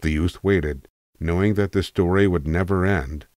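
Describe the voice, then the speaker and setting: A man's voice: low voice, normal speed, a little mellow, dry recording, studio quality. A man reading scripted literary narration as a storyteller.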